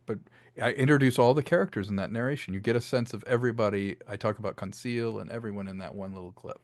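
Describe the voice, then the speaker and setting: A man's voice: fairly low pitch, fast, no room sound. Man explaining his craft, close dry sound. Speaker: interview guest, filmmaker and audio drama creator. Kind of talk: casual, explanatory interview talk.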